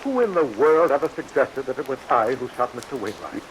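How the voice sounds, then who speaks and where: An actor playing an indignant young university professor, formal and self-assured, in a vintage radio drama. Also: male voice, medium pitch, big pitch swings, brisk, lightly acted. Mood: coolly indignant. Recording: vintage radio recording with faint rain hiss. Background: rain.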